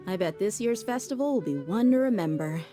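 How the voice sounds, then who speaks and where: A woman's voice: mid-range voice, animated, brisk, dark tone, faint music behind, natural voice. A woman voicing a wry, worldly adult in a game, making dry remarks. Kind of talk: game cutscene voice acting.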